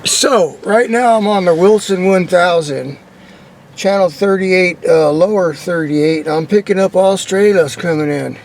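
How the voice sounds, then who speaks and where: A man's voice: sits high, a little slow, a little hiss or hum. A man giving a casual hands-on vlog demonstration, rambling and thinking aloud, in and around a parked truck. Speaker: vlogger demonstrating CB radio antennas.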